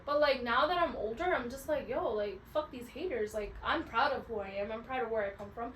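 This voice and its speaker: A woman's voice: a little high, fairly quick, a bit bright. A woman in her twenties co-hosting a podcast, chatting frankly with lots of "like" and some swearing. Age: 20s. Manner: frank, swears freely, heavy use of "like". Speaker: podcast co-host. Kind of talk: casual chat. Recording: roomy echo, mic at a distance, faint hiss.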